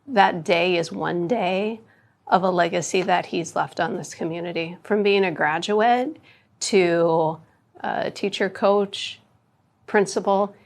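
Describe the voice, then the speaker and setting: A middle-aged woman, a school superintendent speaking earnestly and deliberately in a news interview, pausing between phrases. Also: lowish voice.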